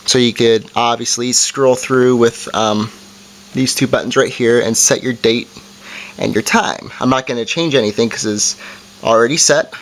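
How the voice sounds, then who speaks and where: A man with a mid-pitched voice presents a homemade product demonstration. He talks informally and plainly, going through each part and setting one step at a time. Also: moderate pace, a bit bright, a little hiss or hum, rough recording. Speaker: amateur video reviewer. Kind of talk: casual product review and demonstration.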